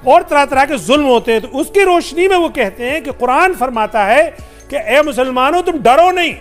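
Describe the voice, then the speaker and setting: A man's voice: extremely high pitch, expressive, normal speed. A man on a TV debate panel arguing his case insistently and talking over others, with music behind. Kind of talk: argumentative TV debate.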